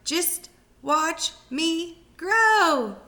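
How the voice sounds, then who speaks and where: A woman voicing a wildly excited ancient grandmother with a screechy voice. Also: very high-pitched, drawn-out words, pitched above the performer's own voice, heavily acted. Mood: gleeful.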